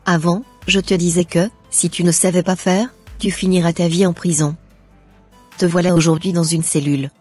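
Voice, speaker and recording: Husky, lowish-voiced harsh mother, acted by a woman. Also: fairly even intonation, normal speed, a little mellow. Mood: reproachful. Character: harsh, fretful mother of a grown son. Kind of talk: amateur animated drama acting. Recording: background music.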